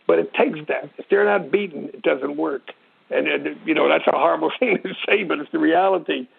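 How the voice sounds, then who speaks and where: Older American man, higher-pitched, rough husky voice, blunt emphatic explainer, informal interview. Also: brisk. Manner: blunt, emphatic storyteller with short repeated phrases. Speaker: military expert guest, retired sergeant major. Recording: tinny narrow phone-line radio sound.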